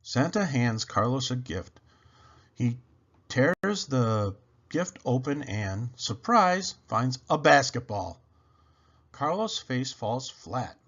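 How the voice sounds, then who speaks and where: A man reading a children's storybook aloud with dramatic, animated narration. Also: medium pitch, takes it slowly, dull audio.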